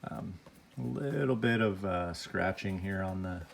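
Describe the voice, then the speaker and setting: A man with a cold talks in a very low, gravelly voice. He chats plainly as he unboxes and grades toys, and faint noise sits under the recording. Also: measured pace. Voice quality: deeper than usual, gravelly. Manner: plain, chatty, grading each item out loud. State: has a cold. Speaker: toy unboxing vlogger. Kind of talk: casual unboxing commentary.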